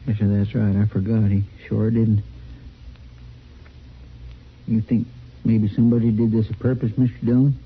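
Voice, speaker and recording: A man's voice: lowish voice, little pitch movement, husky. A man playing a simple, loyal frontier helper in a radio western, with a folksy, deferential way of talking. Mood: troubled musing. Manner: folksy, deferential, drawn-out musing. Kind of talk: old-time radio western acting. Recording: dull, faintly noisy old radio recording.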